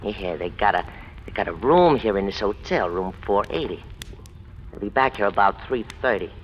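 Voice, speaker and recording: A man's voice: on the low side, expressive, moderate pace, close to an everyday voice. A man playing a mean, greedy crime boss, needling and bossy, in 1940s radio gangster style. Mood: menacing, bossy. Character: mean, greedy small-town crime boss, taunting and domineering. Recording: dull, faintly noisy old radio recording.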